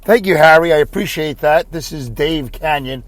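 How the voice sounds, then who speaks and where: A man hosting his own podcast with a scratchy voice, rambling in a self-deprecating way and clearing his throat often. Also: fairly high pitch, normal speed, no echo. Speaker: podcast host. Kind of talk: solo rambling podcast monologue. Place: inside a car.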